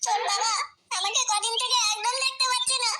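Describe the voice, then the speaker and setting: A woman's voice: very high voice, fairly even intonation, quick pace, heavy background noise. A woman playing an aggrieved, plaintive housewife and mother in a comedy cartoon. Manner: plaintive, complaining.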